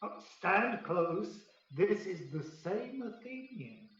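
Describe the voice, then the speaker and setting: Drenched in echo and slightly muffled, a commanding fairy king played by a man speaks Shakespearean verse for radio. His fairly high male voice moves at a stately, unhurried pace, scheming and sure of himself. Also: big pitch swings, a bit bright, exaggerated voice. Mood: pleased. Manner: stately, deliberate verse delivery. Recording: very reverberant, distant, slightly muffled.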